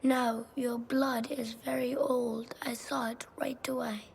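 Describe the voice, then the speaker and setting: A performer playing a quiet, well-spoken, curious young boy in a video game, often hushed. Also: mid-range voice, flat delivery, slow pace, creaky, a little hiss or hum.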